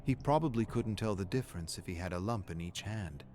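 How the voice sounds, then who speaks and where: A man narrating a dark horror story in a dramatic, scripted style, with a measured, grave and brooding delivery. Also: fairly low pitch, lively intonation, faint music behind.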